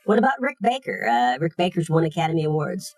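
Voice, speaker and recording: A man working as an interviewer, putting measured, politely challenging questions. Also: fairly high pitch, very animated, no echo.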